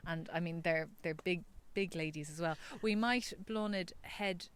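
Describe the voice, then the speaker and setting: A woman's voice: lowish voice, unhurried, clear, resonant tone. An Irish woman interviewer, easygoing and encouraging, asking questions while walking outdoors on a farm.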